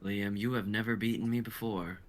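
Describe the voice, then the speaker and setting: A man's voice: fairly low pitch, steady tone, normal speed, natural voice. A man playing a haughty rival knight in an amateur fantasy script reading, theatrical and disdainful. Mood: solemn.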